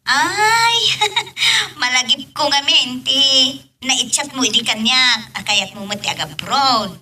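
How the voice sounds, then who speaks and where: A woman's voice: sits high, expressive, takes it slowly, bright, forceful tone, heavily acted. A woman playing a breezy, helpful friend in an audio drama. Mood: chatty. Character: helpful, breezy female friend offering overseas work. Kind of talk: amateur radio-style drama acting. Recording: distant mic with faint noise.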